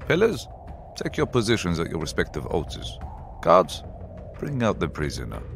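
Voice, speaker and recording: A man's voice: low voice, huge pitch swings, normal speed, vocal fry, soft background music, heavily acted. A man playing an imperious, impatient dragon lord who presides as judge in a fantasy audio drama, making formal commanding proclamations.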